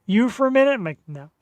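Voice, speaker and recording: A man's voice: sits high, dry recording. A man hosting his own podcast, talking casually in an earnest, rambling, self-deprecating way. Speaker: podcast host. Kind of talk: casual chat.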